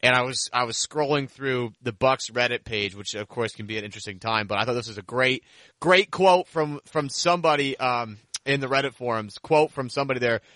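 Male podcast host for sports talk: bright, forward voice on the higher side, close dry sound, conversational and wordy. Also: a little fast, no echo. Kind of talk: sports podcast hosting and interview.

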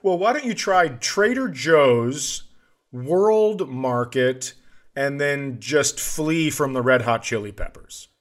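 A man's voice: mid-range voice, animated, slow. A man hosting a podcast with casual, sarcastic comedic banter. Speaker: podcast host.

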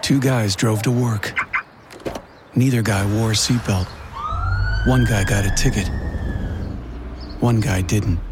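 A man reading a safety announcement in a measured, dramatic narrating style. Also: fairly low pitch, fairly even intonation, very noisy. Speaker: public service announcer. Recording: loud music and sound effects under voice. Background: loud music, siren, vehicle sounds.

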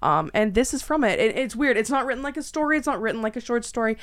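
A woman hosting a podcast in a casual, animated, self-deprecating way with salty language. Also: fairly high pitch, fast. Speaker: podcast host. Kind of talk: casual chatty podcast banter.

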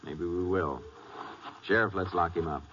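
An actor playing a calm Texas Ranger in a radio western, plain-spoken and measured, with an American accent. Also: male voice, deep voice, lightly acted. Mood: firm. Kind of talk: 1950s radio western acting. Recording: muffled, very noisy 1950s radio recording.

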